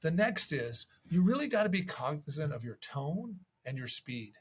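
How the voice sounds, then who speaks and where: Husky, higher-voiced man coaching. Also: very animated, normal speed. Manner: conversational, acts out sample calls. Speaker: real estate sales coach. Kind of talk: instructional video talk with sales tips.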